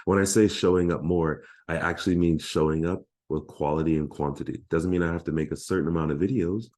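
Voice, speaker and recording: A man's voice: low voice, fairly even intonation, quick pace, darkish tone. A man in his thirties, a life coach giving an earnest, heartfelt motivational talk.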